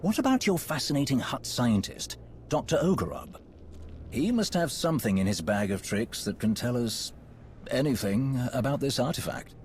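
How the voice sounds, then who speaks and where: A man playing a suave, animated Sith lord. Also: mid-range voice, normal speed, a little hiss or hum, close to an everyday voice. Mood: eager. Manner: courtly, flattering, smooth-talking. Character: suave, flirtatious Sith lord, silky and theatrical. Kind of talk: video game voice acting.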